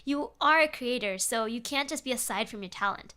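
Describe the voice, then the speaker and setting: An obsessive stalker fan, played by a woman reading a horror story, taunts in a high, sing-song voice. The manner is smug and teasing, pushing with playful menace. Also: a little fast, bright, forceful tone, clear, resonant tone. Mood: teasing, pushy.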